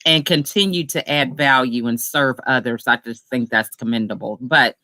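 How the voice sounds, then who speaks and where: An interviewer asking long, encouraging questions in a lively, conversational way. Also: mid-range voice, normal speed, dry recording. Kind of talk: casual interview.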